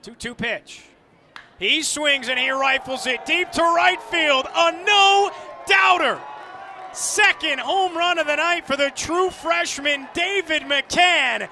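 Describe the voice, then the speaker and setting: A man doing live radio play-by-play sports commentary, with vivid, clipped descriptive calls that build into excited shouts. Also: extremely high pitch, takes it slowly, some chatter behind. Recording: loud arena and ballpark noise behind.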